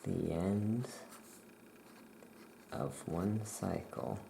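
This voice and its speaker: A soft-spoken ASMR host murmuring thoughts aloud in halting short phrases, close to the microphone, while writing. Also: low voice, animated, creaky, a little hiss or hum, low-quality recording. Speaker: ASMR host playing a witch shopkeeper.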